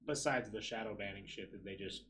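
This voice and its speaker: A man's voice: fairly low pitch, fairly quick, fairly bright tone, slight background noise. A man in his twenties hosting a casual podcast chat, laid-back and swearing freely, in a small studio room. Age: 20s. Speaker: podcast host.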